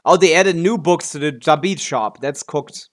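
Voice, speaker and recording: A man's voice: on the high side, normal speed. A man giving casual, offhand live commentary as a game streamer, muttering to himself and swearing freely.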